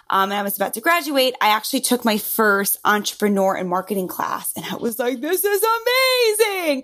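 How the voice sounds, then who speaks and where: A woman from New York with a New York accent, a fitness entrepreneur chatting as a podcast guest, laughing often between quick asides. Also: fairly high pitch, a bit bright. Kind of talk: casual interview talk.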